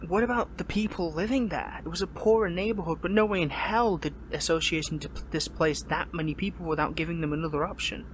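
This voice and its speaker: A man acts out an earnest young male superhero held captive, conflicted and lovesick. His voice sits fairly high for a man and comes in halting, emotional bursts that break off and repeat. It is an amateur audio drama with clear background noise behind the voice. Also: lightly acted, poor audio. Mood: disbelieving.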